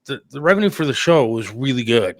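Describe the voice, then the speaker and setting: A man hosting a talk show in casual conversation, with hesitant, halting phrasing. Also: mid-range voice, expressive, dry recording. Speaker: talk show host, radio producer.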